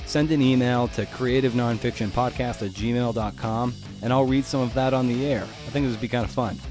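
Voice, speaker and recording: A man's voice: medium pitch, steady tone, brisk, music in the background. A man hosting a podcast in a casual, chatty way, with informal, slangy wording. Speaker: podcast host.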